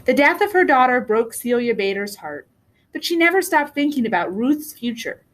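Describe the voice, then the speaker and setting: A woman reading a children's book aloud as a storyteller, with deliberate, expressive phrasing. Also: high voice. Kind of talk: read-aloud storybook narration.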